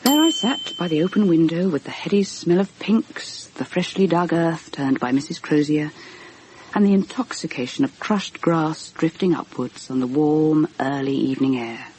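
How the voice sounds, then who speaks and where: A woman's voice: low-pitched, normal speed, darkish tone, slight background noise, natural voice, rough recording. An actress playing an inquisitive, sensitive, genteel woman in a radio drama, speaking in articulate, earnest, literary phrases. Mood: reflective. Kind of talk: radio drama narration and dialogue.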